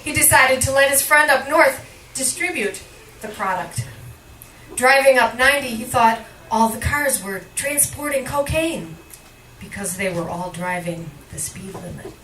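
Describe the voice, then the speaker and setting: A woman poet reads aloud in a bright, forward voice that sits somewhat high. Her phrasing is measured and deliberate, leaning on each line break. It is a live cafe recording, caught from well back in a very roomy space. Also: lively intonation. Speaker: poet, featured reader at a poetry reading. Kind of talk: live poetry reading. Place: indoor cafe poetry reading. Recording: roomy, distant live recording with faint noise.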